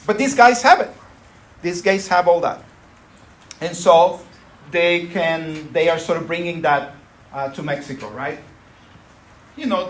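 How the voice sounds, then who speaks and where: A man's voice: on the high side, a little hiss or hum. A man giving a university lecture in a conversational way, often checking in with his listeners. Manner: conversational, frequent tag "right?".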